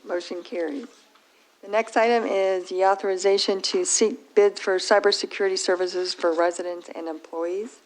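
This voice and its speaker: A woman's voice: mid-range voice, steady tone, poor audio. Woman losing her voice chairing a meeting. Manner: brisk procedural calls for votes. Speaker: board chair. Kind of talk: running a public meeting. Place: township board meeting room.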